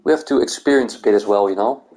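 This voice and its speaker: A man's voice: fairly low pitch, fast talker, warm tone. A man from the Netherlands and Greece, hosting a gaming video and thinking aloud in loose, rambling casual commentary.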